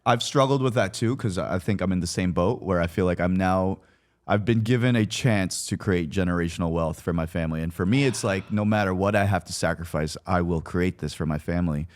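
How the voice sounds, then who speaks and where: A man with a low-pitched voice speaks in casual, personal conversation. He is reflective and somewhat halting, often padding his phrases with "like" as he thinks aloud. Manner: halting, reflective, heavy use of "like".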